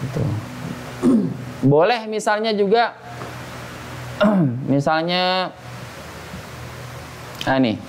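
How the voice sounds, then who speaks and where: Slow-paced man teaching Quran recitation. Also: high-pitched, lively intonation, a little hiss or hum. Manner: informal, patient explaining with check-in questions. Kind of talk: interactive tajwid lesson with recited examples. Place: small study gathering in a private home.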